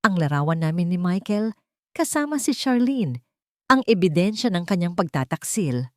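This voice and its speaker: A woman with a fairly low voice reads a dramatic first-person story. The recording is dry and close, with very little room around her. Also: sing-song, normal speed, no echo. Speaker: audiobook narrator.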